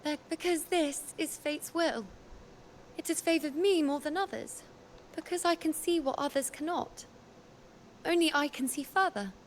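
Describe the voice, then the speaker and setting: Slow, serene young priestess of fate. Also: female voice, sits high, a little hiss or hum. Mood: assured, mysterious. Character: serene, self-assured young priestess who reads fate. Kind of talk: dramatic video game dialogue.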